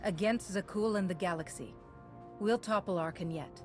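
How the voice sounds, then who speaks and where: An actress playing a composed, resolute female commander in a video game, measured and authoritative. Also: on the low side, over music, close to an everyday voice.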